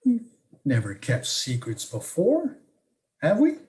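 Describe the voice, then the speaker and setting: A woman's voice: low voice, huge pitch swings, takes it slowly, dark tone, heavily acted. A woman acting a prying, incredulous close friend in a conversational comic one-act, with teasing, probing questions.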